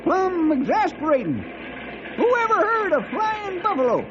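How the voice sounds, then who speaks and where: An actor playing a cranky, folksy old ranch hand full of colorful frontier slang in an old-time radio adventure. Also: male voice, very high voice, very animated, moderate pace. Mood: exasperated, folksy. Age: older. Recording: muffled, noisy old radio recording.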